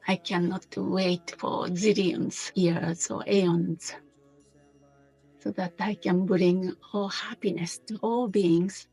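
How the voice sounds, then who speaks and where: A woman teaching a guided meditation in a gentle, unhurried manner, pausing between short phrases. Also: on the low side, steady tone, husky, faint music behind, low-quality recording.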